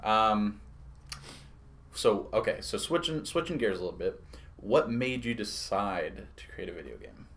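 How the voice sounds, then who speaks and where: A man's voice: lowish voice, sing-song, fairly bright tone. Young man hosting a joking interview. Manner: joking, quick asides. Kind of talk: casual livestream Q&A.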